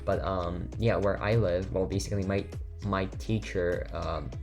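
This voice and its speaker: A man's voice: low-pitched, steady tone, a little slow. A teenage boy whose first language is Lithuanian, chatting casually in a voiceover over game footage, rambling with lots of fillers and restarts. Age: teens. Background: faint background music.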